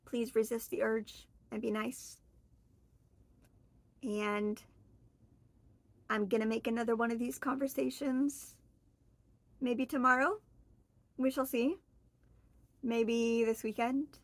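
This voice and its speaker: A woman in her forties talks casually to the camera, rambling in a friendly way and correcting herself as she goes. Her voice sits a little high, and the recording is dry and close with almost no room sound. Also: fairly bright tone, no room sound. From Oregon, US. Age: 40s. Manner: chatty, rambling, friendly, with fillers and self-corrections. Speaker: vlogger. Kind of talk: casual solo chat to camera.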